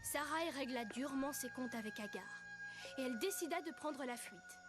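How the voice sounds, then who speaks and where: A woman narrator giving scripted storybook narration for an animated Bible story. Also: high-pitched, moderate pace, over music, close to an everyday voice.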